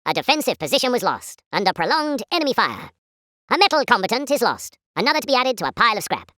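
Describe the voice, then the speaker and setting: High, plummy British wartime newsreel announcer. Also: female voice, pushed and bright, no echo, studio quality. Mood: solemn, indignant. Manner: pompous, formal, chipper propaganda delivery. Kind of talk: 1940s British newsreel commentary.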